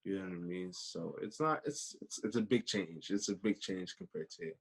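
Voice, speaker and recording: Low-voiced young Canadian man in casual conversation. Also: animated, moderate pace, gravelly, slightly rough. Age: 20s. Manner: repeats phrases, peppers talk with "you know". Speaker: podcast guest. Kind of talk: casual interview chat.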